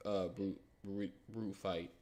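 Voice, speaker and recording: A man's voice: deep voice, fairly even intonation, slow pace, mellow. An adult man co-hosting a podcast in casual, conversational talk. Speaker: podcast co-host. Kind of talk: casual chat about anime.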